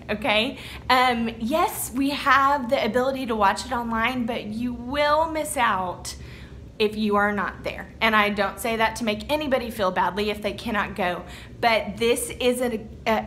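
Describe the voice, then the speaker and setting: A young woman with a Texan accent gives an upbeat motivational talk to her business team, her voice sitting a little high. She keeps things personal and encouraging, often checking in with a quick "okay?". A faint noise runs under the recording. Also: fairly bright tone. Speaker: network marketing team leader.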